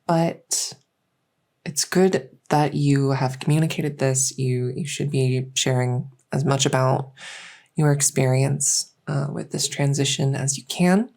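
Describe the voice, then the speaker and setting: A woman playing a gentle, reassuring doctor in a soft-spoken medical roleplay, speaking hesitantly with frequent pauses. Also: deep voice, vocal fry, full character voice. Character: gentle, reassuring female doctor in a fantasy transition clinic. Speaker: roleplay audio performer.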